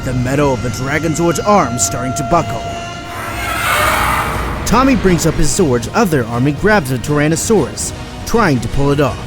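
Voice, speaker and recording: A man's voice: fairly high pitch, very animated, normal speed. A man narrating an action audio drama in a dramatic, scripted style. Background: loud orchestral music.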